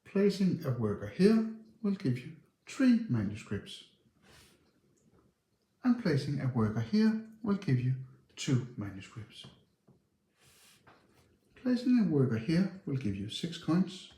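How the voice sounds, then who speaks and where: A man presents the rules of a board game step by step. His voice is soft-edged and mellow, with very varied intonation. The recording has a clear room echo. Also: mid-range voice, sing-song, takes it slowly, long pauses. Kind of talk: instructional narration, explaining game rules. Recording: roomy, echoey sound.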